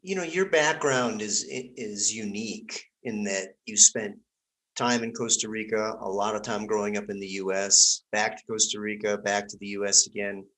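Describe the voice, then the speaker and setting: Casting note: male interview host, lowish voice, asks thoughtful questions and reworks them mid-sentence. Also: steady tone, moderate pace, a bit bright, poor audio.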